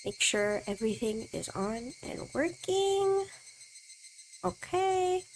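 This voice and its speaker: A woman's voice: sits high, sing-song, slow pace, a little hiss or hum, low-quality recording. A woman streamer with allergy sniffles, reading a visual novel's first-person text aloud with asides of her own. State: stuffy from allergies.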